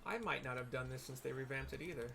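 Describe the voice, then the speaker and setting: A man with a mid-pitched voice narrates his own gameplay live in a casual, informal style. He thinks aloud and feels his way through explanations, with music playing behind him. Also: quick pace, vocal fry, a bit bright. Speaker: gamer narrating own playthrough.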